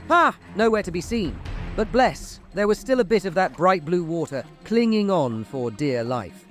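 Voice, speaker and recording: A man's voice: sits high, voice pushed up. A man voicing his own sarcastic British inner voice in vlog voice-over, cheeky and grumbling. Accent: British, put on.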